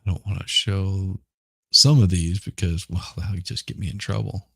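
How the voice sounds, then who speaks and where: A middle-aged man chatting casually as a podcast host, hoarse and rambling, often repeating words and restarting. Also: sits low, fairly even intonation, normal speed, fairly bright tone, dry recording, clean studio sound. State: hoarse from smoke. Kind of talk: casual livestream chat.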